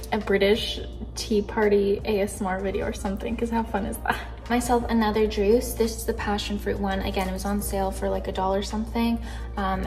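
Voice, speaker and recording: A young woman from Canada chatting casually in her kitchen, bubbly and easily excited, filmed on a phone camera. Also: mid-range voice. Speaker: vlogger. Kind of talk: casual grocery haul chat. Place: kitchen indoors.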